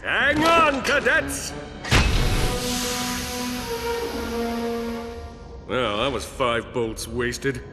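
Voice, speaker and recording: An actor voicing a pompous, vain and cheerfully overconfident space hero in a video game, hammy and self-admiring. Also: male voice, medium pitch, wildly swooping pitch, slow pace, loud music behind the voice. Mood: breezy, cocky. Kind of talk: video game cutscene acting.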